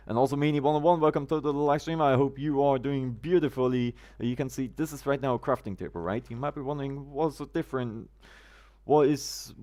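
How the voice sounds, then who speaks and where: A man's voice: mid-range voice, darkish tone. A man from Germany, chatting casually on a gameplay live stream, greeting viewers by name in quirky, roundabout phrasing.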